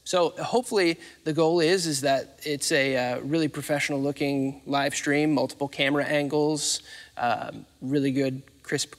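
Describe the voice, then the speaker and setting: A man in his thirties explaining things informally as an interviewee on a theatre stage. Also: mid-range voice. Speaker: interviewee, theatre facilities manager. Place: large dark theatre stage, indoors. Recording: echoey hall reverb.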